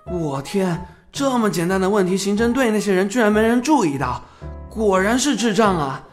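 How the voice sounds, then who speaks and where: A man's voice: high voice, expressive, moderate pace, faint music behind. An actor playing a young male beat cop who is cheeky, brash and playful in an audio drama. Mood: indignant.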